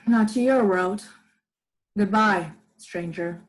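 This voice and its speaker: Radio drama narrator: solemn scientist survivor, young actress, husky, measured, grave. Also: female voice, mid-range voice, poor audio. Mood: wary, resolute. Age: young adult. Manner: deliberate phrasing, literary and grave. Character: solemn scientist survivor recounting a devastated world. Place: living room at home, video call.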